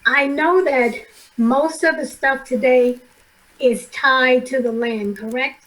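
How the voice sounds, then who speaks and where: A hoarse middle-aged woman on an online study call, asking a question hesitantly and restarting her sentences as she works out what she wants to ask. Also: high voice, slow, fairly bright tone, low-quality recording.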